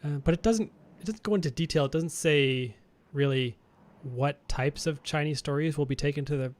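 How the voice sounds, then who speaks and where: A man's voice: on the high side, normal speed, darkish tone. A Canadian man co-hosting a marketing podcast, chatting casually and thinking aloud, with frequent restarts and chuckles. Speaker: podcast co-host.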